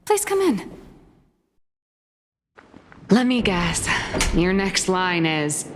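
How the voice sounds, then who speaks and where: A woman's voice: lowish voice, big pitch swings, drawn-out words, noisy background, exaggerated voice. A woman playing a smug, theatrical young female magazine boss in a video game, haughty and playful. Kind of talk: video game character acting. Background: game music.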